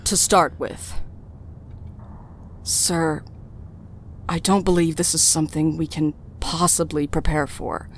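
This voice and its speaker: A grave female adviser speaks in a fairly low voice. She is formal, measured and deferential, as in a serious audio drama. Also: steady tone, a little hiss or hum. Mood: grave, cautioning.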